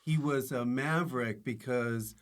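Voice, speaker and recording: Casting: male podcast host, medium pitch, conversational, wry interjections. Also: a little slow. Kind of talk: casual chat, wry interjections.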